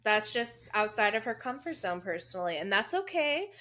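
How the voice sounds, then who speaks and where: A woman's voice: medium pitch, sing-song, a crowd in the background. A woman in her late twenties, co-hosting a casual show recap podcast, chatty and candid. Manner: chatty, candid, quick to laugh. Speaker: podcast co-host.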